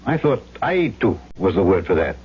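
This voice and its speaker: A man's voice: deep voice, big pitch swings, moderate pace, a little hiss or hum. An actor playing a plain-spoken South Seas trader who also narrates his story, in an old-time radio drama. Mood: puzzled, probing. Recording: dull vintage radio recording, music behind.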